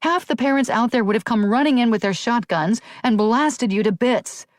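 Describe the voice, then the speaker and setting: A woman's voice: on the high side, normal speed, no room sound, clean studio sound, pitched above the performer's own voice, natural voice. A woman voicing a tough, protective female police officer who gives commanding orders in an audiobook thriller. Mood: angry, urgent. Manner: urgent, forceful commands. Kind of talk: audiobook character dialogue.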